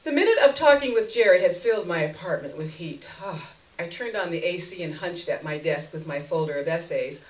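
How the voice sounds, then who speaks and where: A woman reading literary fiction aloud at a live event, expressively and with wry, deliberate phrasing. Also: fairly low pitch, expressive. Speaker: story reader. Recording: echoey room, miked from a distance.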